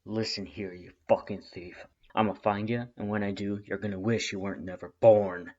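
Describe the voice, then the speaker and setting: A reader voicing a gruff skeleton older brother in a fan story, growling and muttering in rough street slang. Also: sits low, steady tone, normal speed, darkish tone. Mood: growling, threatening. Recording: dull lo-fi recording.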